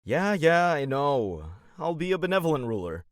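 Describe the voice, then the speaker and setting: A man's voice: a little high, very animated, normal speed, no echo. A man playing a sardonic, teasing twenty-two-year-old older brother in an audio drama. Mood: grudging, amused. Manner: dry, teasing.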